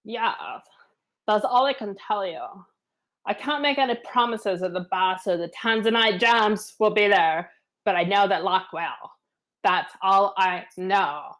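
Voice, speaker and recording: A woman's voice: medium pitch, a little slow, fairly bright tone, close to an everyday voice. A woman playing a defiant diner waitress held at gunpoint, in an amateur table reading. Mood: bargaining.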